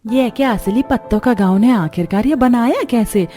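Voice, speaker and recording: A woman's voice: fairly high pitch, moderate pace, warm tone, soft background music, voice pushed up, natural voice. A woman voicing a gossipy, amazed female guest in a family cartoon. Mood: impressed, curious.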